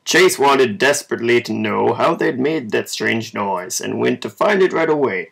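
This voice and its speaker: A man reading a children's story aloud in an informal, measured amateur way. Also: medium pitch, animated, creaky, a bit bright, breathy edge. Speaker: storybook reader.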